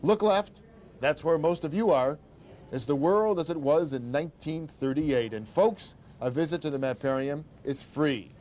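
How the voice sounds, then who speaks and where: A man's voice: a little high, normal speed, darkish tone. Upbeat older man narrating a travel show. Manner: upbeat, conversational tour-guide narration.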